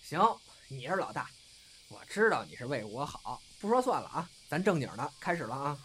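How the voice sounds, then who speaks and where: 语调起伏大、调皮的男声演的年轻恋人. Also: male voice, a little high, fairly quick, a little hiss or hum. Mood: mischievous. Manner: cheeky, expressive, bantering. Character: cheeky, playful younger male comedy partner and lover. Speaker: voice actor. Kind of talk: intimate audio drama dialogue.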